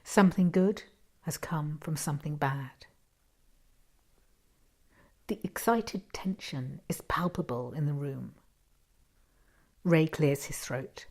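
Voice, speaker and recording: A woman narrates a first-person short story in a slightly low voice. Her reading is expressive, shaped by dramatic pauses and hushed asides.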